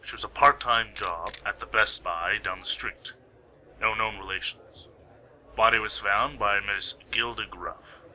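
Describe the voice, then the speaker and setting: A man playing a brisk, dry police officer in an amateur radio play, clipped and procedural. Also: on the low side, pushed and bright. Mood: matter-of-fact.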